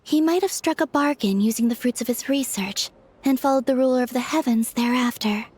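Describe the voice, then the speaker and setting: A woman voicing a calm, perceptive and gently kind young investigator in a video game, speaking in a measured, thoughtful, soft-spoken way. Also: high-pitched, breathy edge. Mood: thoughtful, analytical.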